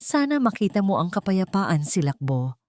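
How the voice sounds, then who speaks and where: A woman's voice: mid-range voice, very animated, normal speed, darkish tone, no room sound, studio quality, close to an everyday voice. A woman narrator voicing a resolute, forgiving young mother in an audiobook, solemn and heartfelt.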